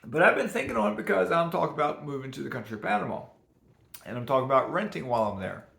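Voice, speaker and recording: Hoarse, rambling man, gravelly and fairly high, for a casual home finance vlog in a roomy space. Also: sing-song, racing, a bit bright. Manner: rambling, chatty, laughs at himself. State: rough, hoarse voice today. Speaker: personal finance vlogger. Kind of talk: casual unscripted talk to camera.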